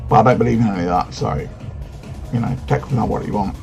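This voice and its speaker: A man, answering personal questions in a frank, hesitant way with many pauses and ums. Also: fairly low pitch, creaky, a little mellow, faint music behind. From England. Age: 30s. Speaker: vlogger. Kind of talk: casual Q&A vlog.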